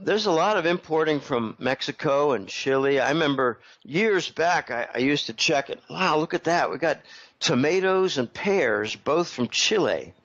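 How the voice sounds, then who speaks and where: Throat-clearing man getting over a head cold. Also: medium pitch, a bit bright, highs cut off. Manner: rambling, clears throat often. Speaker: interview guest. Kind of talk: casual interview commentary.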